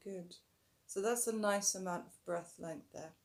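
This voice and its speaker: A woman teaching a yoga breathing technique in a calm, instructive way, her voice a little rough today, sometimes stretching words slowly and dropping softer while guiding the breath. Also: medium pitch. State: slightly rough voice. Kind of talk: breathing tutorial.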